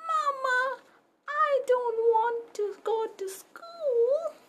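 A woman voicing a sweet, excitable and whiny little boy puppy in a children's storybook, with a childlike, eager manner. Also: very high-pitched, very slow, voice pushed up, heavily acted. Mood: tearful, whiny. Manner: childlike, eager, tearful when upset. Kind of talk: children's storybook read-aloud.

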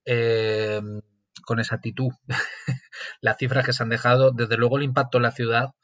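Spanish man, flat steady delivery. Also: medium pitch, normal speed, dry recording. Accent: Spanish (Castilian). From Castilla-La Mancha, Spain. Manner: thoughtful, many fillers and restarts. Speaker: guest, sports management lecturer. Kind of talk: conversational interview answers. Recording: dull lo-fi remote audio.

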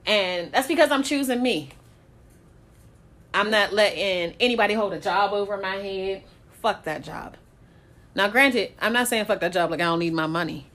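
A woman's voice: mid-range voice, moderate pace, fairly bright tone. A woman talking candidly in a casual chat, blunt and swearing freely, often breaking into laughter.

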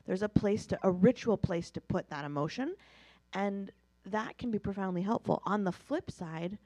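A woman's voice: mid-range voice, moderate pace, darkish tone, no room sound. American woman, close and dry. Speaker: participant in a Talmud class discussion. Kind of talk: reflective spoken discussion in a religious study class.

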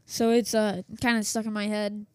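A woman's voice: mid-range voice, level tone, normal speed, smooth, no echo, studio quality. A woman hosting a small radio news show from her home office, chatting casually with lots of fillers and restarts while she is losing her voice. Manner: chatty, self-interrupting, many fillers and restarts. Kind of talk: casual rambling radio chat. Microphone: desktop setup with small soundboard.

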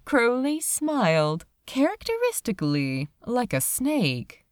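A woman narrates a story in a measured, literary way. Her delivery is slow, with pitch that rises and falls freely. The sound is dry and close, with very little room around it. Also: huge pitch swings, dry recording. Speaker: audiobook reader of fan fiction.

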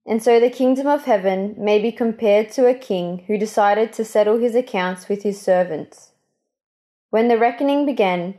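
A woman reading a Gospel passage aloud in a measured, formal way. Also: mid-range voice, warm tone. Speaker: scripture reader.

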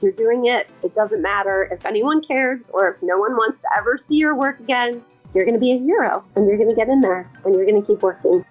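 A woman's voice: fairly high pitch, fairly quick, soft background music, low-quality recording. A woman talking casually in a podcast conversation.

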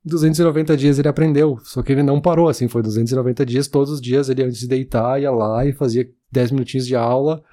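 A man, co-hosting a podcast in relaxed casual chat, full of fillers. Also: mid-range voice, fast, few pauses. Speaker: podcast co-host.

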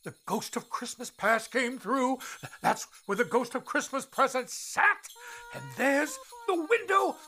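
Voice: high-pitched, lively intonation, measured pace, bright, forceful tone, heavily acted. An actor playing an old miser in a radio drama, stammering and exclaiming with great emotion. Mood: giddy joy. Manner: stammering, repeating words, pleading and exclaiming. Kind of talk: theatrical radio drama acting.

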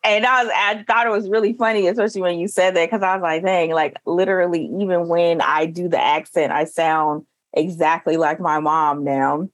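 Brief: woman co-host, casual storyteller with laughter, lower pitch, dry close mic. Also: steady tone, normal speed, few pauses, no room sound. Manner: warm-humored, laughing, storytelling. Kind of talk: casual chat.

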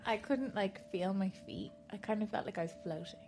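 A woman with an Irish accent chatting casually as a podcast guest, relaxed and quick to laugh. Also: medium pitch. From Ireland. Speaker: guest, bride-to-be. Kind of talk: casual chat.

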